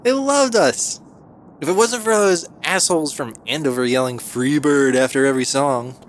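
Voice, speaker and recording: A young man playing a wisecracking, excitable slacker musician in an audio drama, casual and sardonic. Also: fairly high pitch, moderate pace, slight background noise, lightly acted. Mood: sardonic, excited. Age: young adult.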